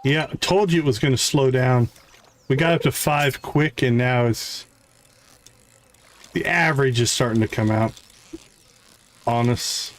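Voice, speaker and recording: Male game streamer, medium pitch, casual conversational commentary addressed to a live chat. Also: measured pace, long pauses.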